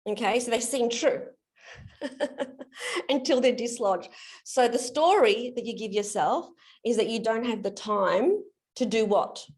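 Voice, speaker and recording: A woman's voice: a little high, a little slow, a little mellow. A woman coaching a guest through his limiting beliefs on a video call, in a friendly, explanatory way. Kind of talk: live coaching demonstration in an online session.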